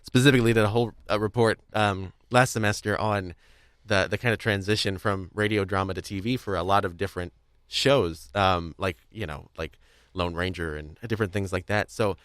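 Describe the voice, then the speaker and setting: Low-voiced young man, rambling podcast chat. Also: fairly even intonation, fairly quick, a bit bright, smooth, no room sound, clean studio sound. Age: young adult. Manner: rambling, hedging, wordy. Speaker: podcast host. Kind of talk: casual chat about audio drama craft.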